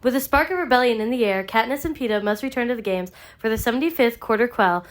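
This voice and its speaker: A woman's voice: fairly high pitch, fast. A young woman with a stuffy, congested voice co-hosting a casual book club podcast, chatty and full of filler words. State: congested from a sinus infection. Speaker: podcast co-host.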